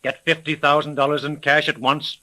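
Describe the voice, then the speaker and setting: A man's voice: on the high side, level tone, brisk, pushed and bright. An actor playing an indignant, flustered older businessman and father, protesting emphatically in a 1930s radio drama.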